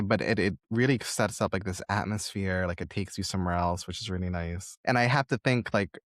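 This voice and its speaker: A man's voice: on the low side, no room sound, clean studio sound. A man hosting a conversational podcast interview, speaking in an encouraging, supportive manner. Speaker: podcast host interviewing a guest.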